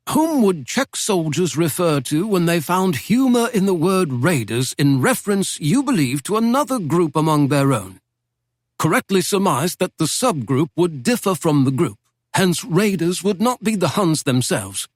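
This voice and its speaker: A man's voice: on the high side, very animated, unhurried, no echo, clean studio sound, voice pushed up. A man voicing a genial older male Oxford professor with an English accent, talkative, playful and precise in his diction.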